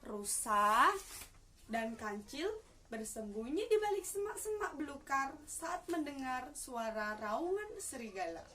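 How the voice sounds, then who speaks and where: Slow, lively young woman storyteller. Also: high voice. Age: young adult. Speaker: student storyteller. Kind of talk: animated children's storytelling. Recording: distant mic with faint noise.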